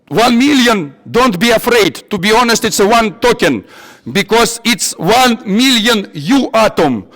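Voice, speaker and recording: A man with a non-native English accent giving an informal technical workshop, halting and repeating himself as he searches for words. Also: high-pitched, a bit bright. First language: not English. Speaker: workshop instructor. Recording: echoey hall with long reverb.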